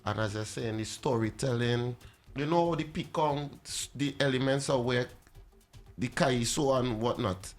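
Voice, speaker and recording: A man's voice: mid-range voice, moderate pace, soft background music. Trinidadian man telling stories. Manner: animated storytelling, laughs easily, Caribbean slang. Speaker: musician guest. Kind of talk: casual interview chat.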